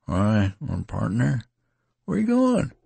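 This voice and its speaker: Male reader doing a tattered, wounded rustic soldier: raised, rasping voice, rambling folksy speech, audiobook. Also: medium pitch, big pitch swings, slow pace, a little mellow, no echo, voice pushed up, full character voice. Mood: slurred, pleading. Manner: rambling folksy dialect, slurring as he weakens.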